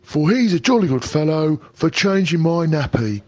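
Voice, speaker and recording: A man reading a storybook aloud and voicing a rough-spoken male burglar with a slow, drawling dialect. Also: a little high, very animated, darkish tone, voice pushed up. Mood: gruff.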